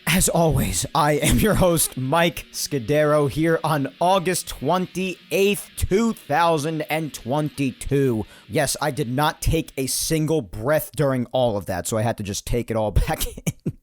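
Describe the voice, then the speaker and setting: A man hosting his own sports podcast, chatty and rambling, his voice slightly hoarse from yelling earlier. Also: fairly high pitch, unhurried, faint music behind. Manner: animated, chatty, rambling asides. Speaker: podcast host. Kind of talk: solo sports podcast monologue.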